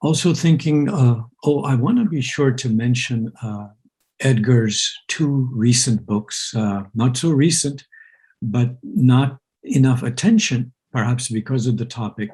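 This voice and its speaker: Man w urdu as first language, hosts slow and thoughtful, husky mellow voice, lots of uh pauses. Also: medium pitch, rough recording. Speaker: host. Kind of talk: reflective conversation.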